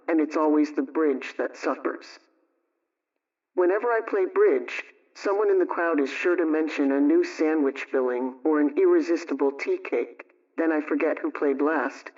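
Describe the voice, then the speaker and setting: Man reading an old radio script, slightly higher voice, dull distant sound. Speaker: reader. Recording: dull lo-fi sound, away from the mic.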